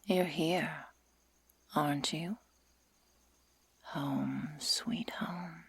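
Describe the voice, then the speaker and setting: A woman playing a sadistic, menacing werewolf girl in an ASMR roleplay, taunting in a breathy whisper with slow, mock-sweet deliberation. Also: low voice, steady tone, dry recording, exaggerated voice. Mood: teasing, sinister. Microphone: close microphone.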